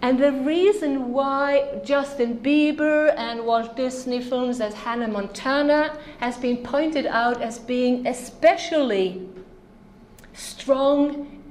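A woman's voice: high voice, slow pace, darkish tone, echoey room, slight background noise. A woman from Norway, a native Norwegian speaker, giving an earnest, emphatic conference talk on ministry to children.

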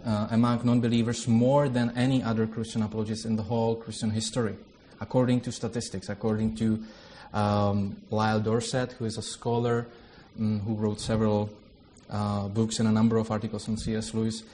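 A man's voice: fairly low pitch, little pitch movement, brisk, few pauses, mellow, slight background noise. A man from the Czech Republic with a Czech accent, a theology lecturer opening a workshop in a hesitant, filler-heavy way. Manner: hesitant, many fillers, self-deprecating. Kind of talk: informal workshop talk with a prayer.

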